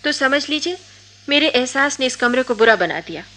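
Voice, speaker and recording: A woman's voice: sits high, animated, moderate pace, sharp tone, exaggerated voice. A woman acting a defiant, lovelorn young woman in a radio drama, with an intense, poetic delivery. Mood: anguished, pleading. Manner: poetic, emotionally heightened delivery.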